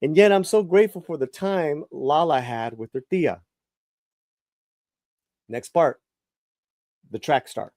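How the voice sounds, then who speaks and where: A man performing a dramatic live reading of his own first-person novel, swinging between hushed lines and emphatic ones, often restarting words. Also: on the high side, slow pace, pauses often, no room sound, clean studio sound.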